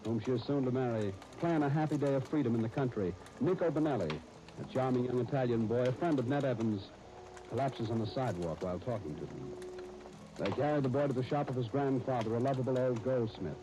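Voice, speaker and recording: A man's voice: medium pitch, fairly quick. An American man announcing on 1930s radio, handling scripted narration and advertising patter. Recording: noisy 1939 radio disc recording.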